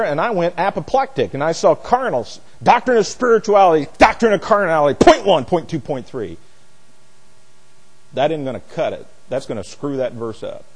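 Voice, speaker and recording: A man's voice: on the high side, a little mellow, husky, poor audio. A man preaching as a pastor in a Bible lesson, speaking in an emphatic, opinionated, plain-spoken and folksy way. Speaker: pastor teaching a Bible study. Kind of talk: sermon, expository Bible teaching.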